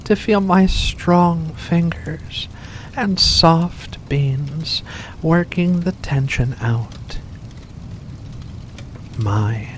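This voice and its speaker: Woman as a slow, silky, predatory vampire. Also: low voice, a little hiss or hum, heavily acted. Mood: predatory relish. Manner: slow, silky, coaxing, genteel endearments with veiled menace. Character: ancient predatory vampire with furry paws, seductive, faux-kindly. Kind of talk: ASMR roleplay addressed to the listener.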